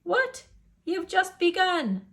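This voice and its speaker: An excitable storybook cook, put on by a woman, exclaims in a high voice. She is scheming and dance-mad, speaking in bouncy rhyme. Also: slow pace, exaggerated voice. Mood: indignant.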